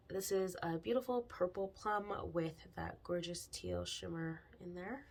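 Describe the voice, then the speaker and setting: A young woman with a slightly raspy voice from a cold, chatting through a casual nail polish haul vlog with lots of ums. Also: on the low side, darkish tone. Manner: chatty, frequent ums, bubbly about products.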